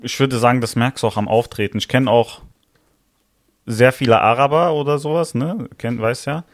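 A man's voice: medium pitch, moderate pace, dry recording. A young man with a mid-range voice argues his side in a loose, slangy way, often stopping to ask if he is understood. The sound is dry and close, with no room around it. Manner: slangy, argumentative, frequent "weißt du, was ich meine". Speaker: podcast co-host. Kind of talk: casual podcast debate.

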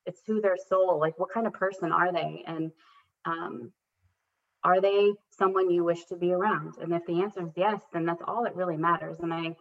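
A woman's voice: lowish voice, steady tone, normal speed. A woman coach speaking as a podcast guest, earnest and reflective, with many fillers and restarts. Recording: dull lo-fi recording.

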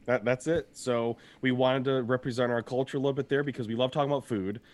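A young man with a slightly hoarse voice co-hosts a casual podcast. He rambles cheerfully, laughs easily and keeps the chat loose, sitting at an everyday middle pitch. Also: steady tone, fast, a little mellow. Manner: upbeat, rambling, laughs often. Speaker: podcast co-host.

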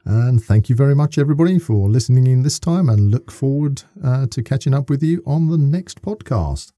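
A man's voice: mid-range voice, expressive, brisk, warm tone, dry recording, studio quality. A man co-hosting a podcast in a casual, chatty interview style, wordy and thinking aloud as he goes.

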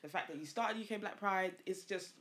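A woman's voice: mid-range voice, brisk, over a noisy crowd. A woman, co-hosting a casual chat and speaking bluntly and to the point. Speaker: podcast co-host.

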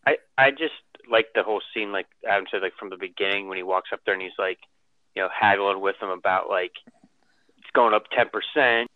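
A man's voice: lowish voice, fairly quick, dry recording. A man co-hosting a casual podcast, reading a film synopsis and chatting loosely over a tinny phone line. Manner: reads the synopsis aloud, then chats loosely. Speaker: co-host.

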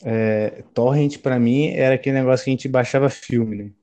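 A man from Brazil co-hosting a casual football podcast, speaking in relaxed slang at a measured pace. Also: medium pitch, no echo. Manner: laid-back, slangy, measured. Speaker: podcast co-host.

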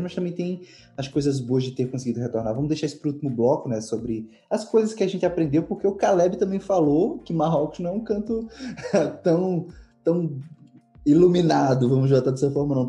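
A male podcast host teases his guests and keeps the conversation moving. His voice is very dark and a little higher than usual for a man. Faint music plays under the talk. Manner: jokey, steering the conversation. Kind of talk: casual chat.

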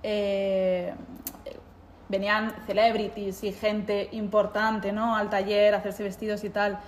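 A young adult woman with a Spanish accent touched by Italian, telling animated stories as an interview guest. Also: mid-range voice, takes it slowly. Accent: Spanish with Italian mixed in. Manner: animated storytelling, acts out quoted voices. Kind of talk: casual conversational interview. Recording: echoey room, faint background noise.

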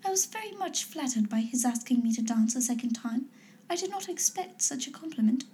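A woman voicing a gentle, modest young woman who speaks softly and sincerely. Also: high-pitched, expressive, a little fast, slight background noise. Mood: earnest. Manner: soft and sincere.

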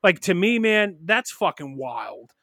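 A man's voice: sits high, measured pace, vocal fry, no room sound, clean studio sound. A man in his early thirties co-hosting a podcast, rambling and swearing in loose casual chat. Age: early 30s. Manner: profane, rambling, animated. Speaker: podcast co-host.

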